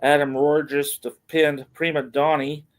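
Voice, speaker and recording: A man's voice: on the high side, flat delivery, takes it slowly. Middle-aged man hosting a casual wrestling podcast. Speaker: podcast host. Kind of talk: casual wrestling news rundown.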